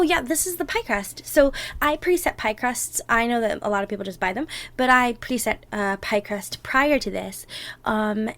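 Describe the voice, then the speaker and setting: A woman's voice: a little high, fairly bright tone, exaggerated voice. A woman playing an affectionate, playfully bossy girlfriend in a one-sided ASMR roleplay, chatting and thinking aloud as she bakes. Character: bubbly, bossy, affectionate young girlfriend baking for Thanksgiving. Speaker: roleplay audio performer.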